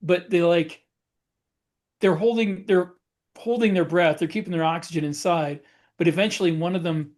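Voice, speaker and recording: A man with a slightly high voice chats casually as a podcast co-host. He works through his stories haltingly, backing up to correct details. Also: darkish tone. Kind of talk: casual panel chat.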